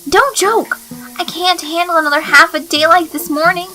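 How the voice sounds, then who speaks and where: A woman's voice: extremely high pitch, moderate pace, sharp tone, soft background music, voice pushed up. A woman voicing an earnest, self-doubting teenage schoolgirl with a dramatic, flustered manner in a story reading. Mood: exasperated.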